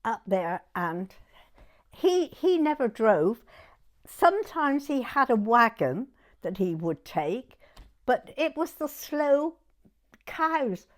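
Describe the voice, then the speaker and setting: Elderly southern English woman, lively pitch, unhurried. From Dorset, England. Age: 90s. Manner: chatty, halting, peppered with "you know". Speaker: oral history interviewee. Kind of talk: informal reminiscence in an interview.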